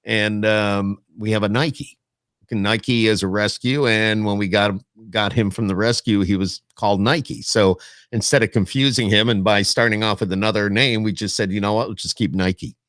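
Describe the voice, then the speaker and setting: A man's voice: fairly low pitch, fairly even intonation, normal speed, fairly bright tone, studio quality. A man hosting a podcast, chatting casually with his guests and often echoing his own agreement. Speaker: podcast host interviewer. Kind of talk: casual chat interview.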